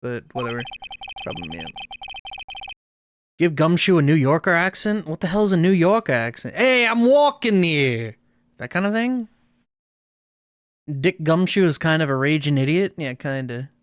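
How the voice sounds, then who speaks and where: A man's voice: on the high side, animated, moderate pace, pauses often, no echo. A Canadian man streaming a game, reading its dialogue aloud and answering chat. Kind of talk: let's play, reading game text aloud. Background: game music.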